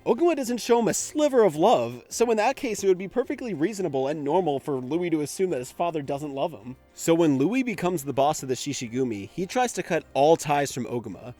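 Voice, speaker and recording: Man narrating a lively, casual video essay. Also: on the high side, brisk, few pauses, soft background music. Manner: informal, conversational, occasional swearing. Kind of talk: casual scripted commentary on an anime.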